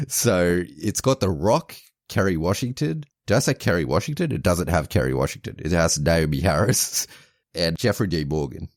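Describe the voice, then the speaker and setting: A man's voice: low-pitched, animated, normal speed, no room sound, studio quality. A man co-hosting a podcast, casually retelling a movie plot with lots of restarts, self-corrections and amused laughs. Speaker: podcast co-host.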